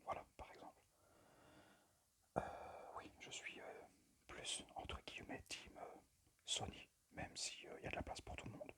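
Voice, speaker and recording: A man's voice: normal speed, long pauses. Man whispering a hesitant ASMR presentation. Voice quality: breathy unvoiced whisper. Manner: hesitant, unpractised, apologetic. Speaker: amateur ASMR creator and game collector. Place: at a desk indoors. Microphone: phone microphone.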